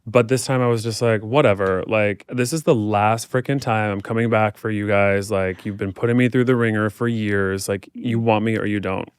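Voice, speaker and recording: Clear-voiced man with a lowish pitch. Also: fairly even intonation, moderate pace, few pauses, dry recording. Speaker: podcast guest, performer. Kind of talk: casual chat, personal storytelling.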